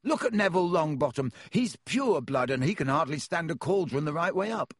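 A man voicing a hoarse, queasy teenage schoolboy wizard who speaks indignantly. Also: fairly high pitch, lively intonation, a little fast, no room sound, studio quality, pitched above the performer's own voice.

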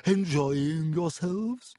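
A man's voice: fairly high pitch, extremely slow, mellow, no echo, voice pushed up. A man voicing a proud, mournful, courtly male ghost in an audiobook, stately and plaintive.

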